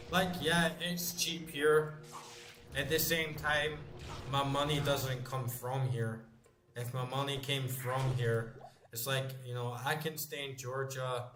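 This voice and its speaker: A man's voice: medium pitch, background noise. A man in his late thirties chatting casually while playing a game, rambling loosely and swearing now and then. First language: English. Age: late 30s. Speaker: gamer playing on stream. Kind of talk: casual chat while gaming. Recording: roomy sound with music behind.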